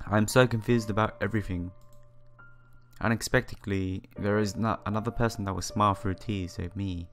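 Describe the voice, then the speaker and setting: A man with a fairly low voice reads a visual novel aloud as a let's player. His phrasing is halting as he narrates and drops in his own comments. Game music plays behind him. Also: steady tone, fairly bright tone. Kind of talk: reading visual novel text aloud with asides.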